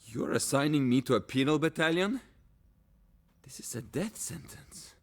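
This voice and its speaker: An actor playing a principled Soviet lieutenant in a game cutscene, speaking with impassioned defiance. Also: male voice, on the high side, lively intonation, moderate pace. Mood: shocked. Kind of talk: dramatic game cutscene acting.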